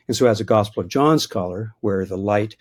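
A man's voice: on the low side, fairly quick. A man in his sixties, a biblical scholar answering interview questions in a reflective, hesitant way with frequent restarts. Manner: thoughtful, hesitant restarts, chuckles at his own points.

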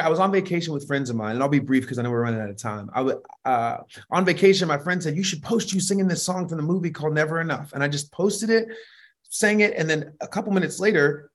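A middle-aged man with a high voice, a worship leader telling stories in a relaxed, animated way in an interview, laughing often and restarting sentences. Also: fast. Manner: animated, laughs often, frequent restarts and "you know what I mean". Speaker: worship leader and vocal producer, interview guest. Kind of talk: relaxed conversational storytelling.

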